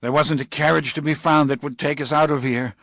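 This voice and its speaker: A man voicing a frantic, desperate gentleman. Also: fairly high pitch, steady tone, brisk, vocal fry, no room sound, clean studio sound, pitched above the performer's own voice. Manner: pleading, rising to frantic outbursts. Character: desperate drunken gentleman, shaken, terrified.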